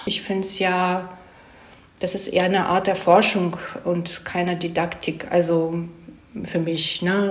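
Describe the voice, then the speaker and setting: A woman screenwriter being interviewed, explaining casually and thoughtfully, often checking in with short tag questions. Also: lowish voice. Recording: echoey room.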